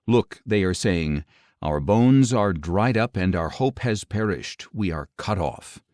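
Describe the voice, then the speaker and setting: Man reading as a commanding, low-voiced God. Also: animated, a little mellow, no echo, clean studio sound. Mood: commanding, solemn. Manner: grave, declamatory, measured. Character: commanding, solemn male God speaking prophecy. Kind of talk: audio Bible scripture reading.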